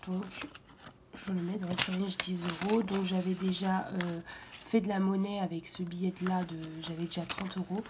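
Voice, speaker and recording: A hoarse woman speaking softly and half-whispering at home in the early morning, hesitant and full of fillers as she walks through her weekly budget. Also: fairly low pitch, little pitch movement, normal speed, very noisy. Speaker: budget vlogger. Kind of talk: casual budget walkthrough.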